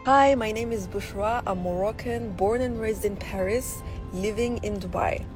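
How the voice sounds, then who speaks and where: A woman sends a calm, personal listener voice note. Clear music plays under her. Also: mid-range voice, moderate pace.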